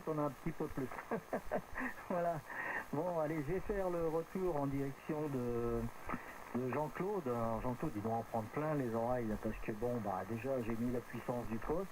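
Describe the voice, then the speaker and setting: A man talking casually as an amateur radio operator, in a chatty, meandering and hesitant way, over a radio transmission. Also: mid-range voice. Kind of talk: casual radio contact chat. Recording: noisy radio transmission with clear background noise.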